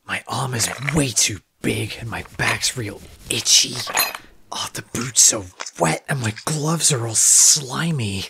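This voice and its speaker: An actor in a theatrical audiobook playing a gruff young male thief with a dry, grumbling, theatrical manner. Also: mid-range voice, takes it slowly, heavy background noise. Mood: grumbling, disgusted. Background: background music.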